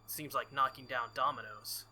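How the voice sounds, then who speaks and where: A man's voice: medium pitch, steady tone, fairly quick, pushed and bright, slight background noise. A man doing a visual novel playthrough, reading the story aloud dramatically and dropping in casual asides. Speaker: let's player.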